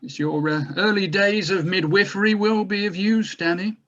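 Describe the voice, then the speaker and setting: A man playing a courteous, hesitant government doctor with gentle authority in a drama table read, on slightly dull sound. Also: high voice, fairly even intonation. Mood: hesitant, polite. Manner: halting, courteous, searching for words.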